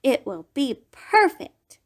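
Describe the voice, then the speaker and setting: A woman doing an excitable little rabbit. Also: slow pace, exaggerated voice. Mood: eager. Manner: high and excited. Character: rabbit, male, young, eager, excitable.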